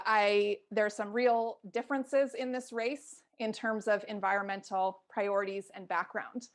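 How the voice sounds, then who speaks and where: A woman's voice: mid-range voice, creaky, fairly bright tone. A middle-aged American woman giving an earnest, formal, articulate answer as a congressional candidate in a town hall debate.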